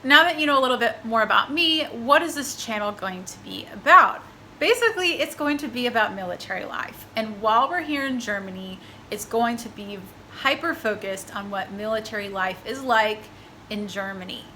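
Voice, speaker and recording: Bright, chatty young woman vlogger. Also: on the high side, moderate pace, slight background noise. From Texas, USA. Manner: upbeat and chatty, with light laughs. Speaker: vlogger, military spouse. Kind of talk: casual vlog introduction.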